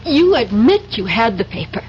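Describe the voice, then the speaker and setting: A woman's voice: a little high, big pitch swings, moderate pace, darkish tone. An actress playing a cool female double agent in a radio drama, controlled and persuasive, on a dull, noisy old broadcast. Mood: urgent, pleading. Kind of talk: 1970s radio drama acting.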